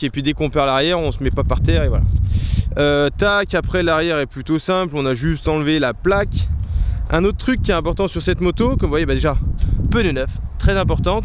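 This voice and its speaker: Young male vlogger, slightly high pitch, casual and rambling, filmed outside on a camera mic. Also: slight background noise. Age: young adult. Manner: chatty, explains things with many fillers and restarts. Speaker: motorcycle stunt vlogger. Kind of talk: casual vlog talk to camera. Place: outdoors on a paved lot. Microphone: handheld camera at arm's length. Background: outdoor ambience, passing plane.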